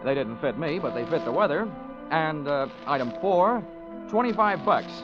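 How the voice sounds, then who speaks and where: A man's voice: on the high side, animated, moderate pace, over music, lightly acted. An actor playing a wry, hardboiled insurance investigator in a radio detective drama, dry and wisecracking as he talks and narrates. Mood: wry narrating. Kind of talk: 1950s radio detective acting, first-person narration. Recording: muffled old radio broadcast.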